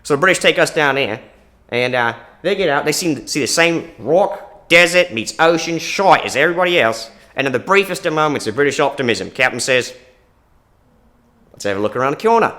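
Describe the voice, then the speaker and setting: A man's voice: fairly high pitch, animated, brisk, pushed and bright. A man plays a laid-back Australian bloke with a strong Australian accent. He rambles along in an easygoing way, telling a long tale. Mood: storytelling. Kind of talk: improvised comedy monologue.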